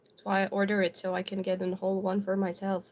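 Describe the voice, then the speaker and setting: A woman's voice: medium pitch, monotone, fast talker, darkish tone. A young South African woman whose first language is Afrikaans, with an American-sounding accent, chatting casually on a livestream and answering viewer comments, giggly, with lots of ums and likes. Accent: American-sounding English.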